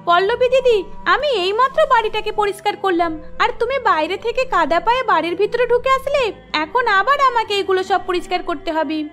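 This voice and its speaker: A woman plays a young, long-suffering cartoon daughter-in-law. Her voice is extremely high, and she speaks meekly and deferentially, with faint music underneath. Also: animated, normal speed, fairly bright tone. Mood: upset. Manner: polite, deferential. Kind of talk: cartoon voice acting.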